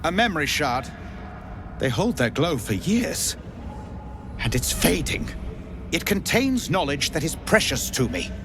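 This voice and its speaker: A man's voice: fairly high pitch, sing-song, measured pace, pauses often, noisy background. A man playing a pompous, scheming drow wizard in a video game, theatrical and haughty but given to obsequiousness. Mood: agitated, suspicious. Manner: theatrical, haughty, stammering when deferential.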